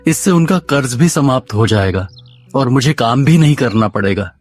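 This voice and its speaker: A man's voice: mid-range voice, lively intonation, moderate pace, faint music behind, close to an everyday voice. A man voicing a lazy, naive village husband in a cartoon story, talking in dialogue. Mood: scheming.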